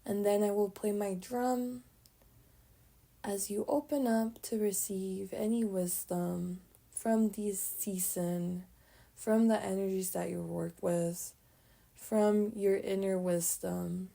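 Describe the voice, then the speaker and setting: A woman leading a guided meditation, speaking gently in short phrases with pauses between them and soft, breathy endings. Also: medium pitch, fairly even intonation.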